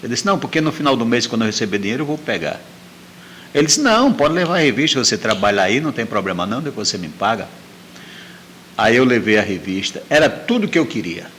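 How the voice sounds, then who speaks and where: A man's voice: medium pitch, big pitch swings, quick pace, fairly bright tone, slight background noise. A middle-aged man telling a personal story as an interview guest, repeating phrases for emphasis.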